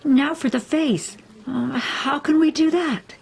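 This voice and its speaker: A woman's voice: high-pitched, slow, gravelly, pitched above the performer's own voice, heavily acted. A woman voicing a small, sweet, eager young girl in a children's storybook, earnest and childlike. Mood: wondering, eager.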